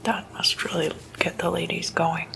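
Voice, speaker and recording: A woman speaking in a hushed ASMR whisper, unhurried and in short halting phrases, like an informal late-night lecture. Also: on the low side, little pitch movement, gravelly, poor audio. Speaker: ASMR host.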